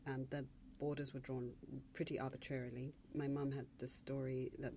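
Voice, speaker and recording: Low-voiced reflective woman novelist. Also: flat delivery, mellow, slight background noise. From Zambia. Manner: reflective, measured, restarts mid-phrase. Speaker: novelist on a panel. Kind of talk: panel discussion.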